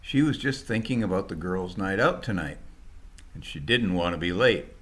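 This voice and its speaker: Animated low-voiced male storyteller. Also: moderate pace. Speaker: storyteller, author reading own story. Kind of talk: scripted story narration, colloquial and dramatized.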